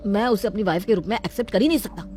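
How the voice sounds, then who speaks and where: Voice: mid-range voice, racing, a little mellow, faint music behind, close to an everyday voice. A performer voicing an arrogant, scornful young husband who talks harshly and haughtily in a storybook drama. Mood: troubled.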